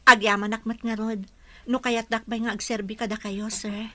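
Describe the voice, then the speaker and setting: A woman's voice: on the high side, level tone, normal speed, fairly bright tone, no room sound, lightly acted. An actress with a Filipino accent playing a modest, polite woman working overseas in an Ilocano radio drama. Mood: hesitant, deferential. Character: modest, respectful Filipina working abroad for a widowed boss.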